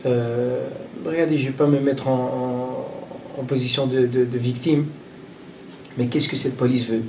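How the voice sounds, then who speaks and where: A man from Belgium answering interview questions in a played clip, halting with many fillers and repeated words. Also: medium pitch, fairly even intonation, darkish tone, far from the mic, a little hiss or hum. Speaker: interviewee, group spokesman.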